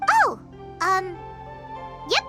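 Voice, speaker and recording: Very high-pitched, excitable little companion girl. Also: very slow, music in the background, exaggerated voice. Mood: eager storytelling. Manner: exclamatory, emphatic storytelling. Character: small childlike flying companion girl, cheerful, talkative, excitable. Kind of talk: anime video game voice acting.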